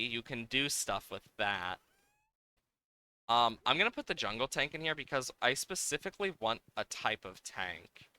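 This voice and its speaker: Bright, crisp-voiced man chatting on a livestream. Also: medium pitch, no echo. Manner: rambling, playful, thinking aloud. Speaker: livestreamer, host. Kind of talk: casual live game-stream chat, reacting to game and viewers.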